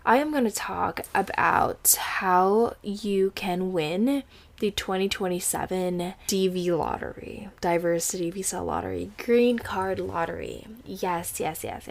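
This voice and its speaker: A young woman, hosting a podcast in casual, rambling chat with many fillers and restarts. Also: fairly low pitch. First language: Indonesian. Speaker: podcast host.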